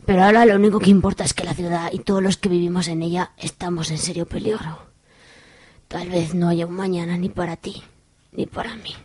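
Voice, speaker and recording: A woman's voice: fairly low pitch, steady tone, brisk, a little mellow, husky, dry recording. A woman playing a flippant, scheming fortune-telling witch in an amateur comic radio soap, casual about gruesome things. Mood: grave.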